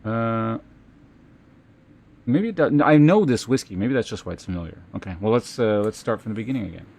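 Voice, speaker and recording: A man hosting a livestream, chatting casually and reading aloud in a halting, measured way. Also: on the low side, animated, darkish tone. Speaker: live streamer host. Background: music.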